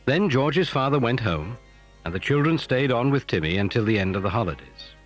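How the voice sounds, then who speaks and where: A man's voice: medium pitch, very animated, a little fast, soft background music. A man narrating a scripted children's adventure story.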